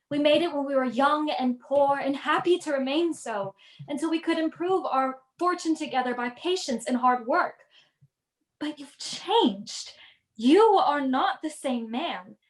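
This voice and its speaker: A poor young sweetheart, played by a woman, high in pitch, sorrowful and reproachful. She speaks in radio play style, with a strong room echo around her voice. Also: a little slow. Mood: hurt, reproachful. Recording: echoey room over video call.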